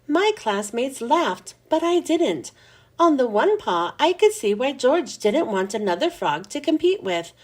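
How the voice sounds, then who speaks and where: A woman reading a children's chapter book aloud in a lively, expressive storybook manner. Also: high-pitched, measured pace.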